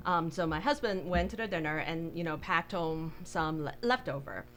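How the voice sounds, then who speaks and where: Low-voiced woman from Taiwan, many fillers. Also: lively intonation, normal speed, a bit bright, soft background music. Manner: hesitant, many fillers, laughs easily. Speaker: bakery co-owner, guest. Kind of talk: casual interview talk.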